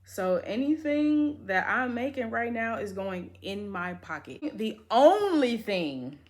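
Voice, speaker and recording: A woman's voice: fairly high pitch, big pitch swings, slow pace, darkish tone. A woman in her twenties talking casually to camera in an upbeat, chatty way, with lots of filler words. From United States. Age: 20s. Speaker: beauty vlogger.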